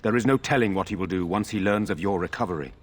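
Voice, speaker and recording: Fantasy game noble, lowish raspy voice, earnest formal loyal brother type. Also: male voice, fast talker. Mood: urgent, concerned.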